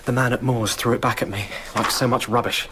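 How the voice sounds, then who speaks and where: An actor playing a frightened, loyal servant in a radio drama, speaking with deferential, hushed urgency. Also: male voice, mid-range voice, steady tone, brisk, background noise, lightly acted. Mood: anxious, indignant.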